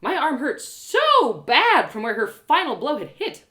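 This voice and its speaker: Woman reading an adventure story live, first person, super animated, bright voice, dramatic delivery. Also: high-pitched, a little slow. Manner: dramatic, expressive. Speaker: storyteller, audio drama performer.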